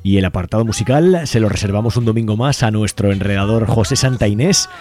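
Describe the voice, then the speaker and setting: A man's voice: on the low side, fast talker, music in the background. A man hosting a radio show in an informal, chatty, colloquial way. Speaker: radio host. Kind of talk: casual radio presenting. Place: radio studio.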